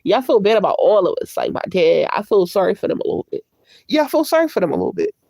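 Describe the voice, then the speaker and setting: A woman's voice: mid-range voice, huge pitch swings, fast talker, vocal fry, dark tone, no echo, studio quality. A woman with a congested, stuffy voice, talking candidly and at length to her livestream viewers through a tablet. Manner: candid, rambling and reflective. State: congested from a cold. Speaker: livestream host. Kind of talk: casual livestream chat.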